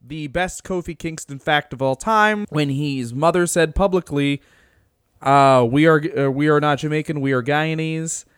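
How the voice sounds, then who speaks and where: Podcast co-host, male, smooth clear tone, dry close sound, laughs a lot. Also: on the high side, moderate pace, no echo. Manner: laughs often, animated storytelling. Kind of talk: casual podcast chat.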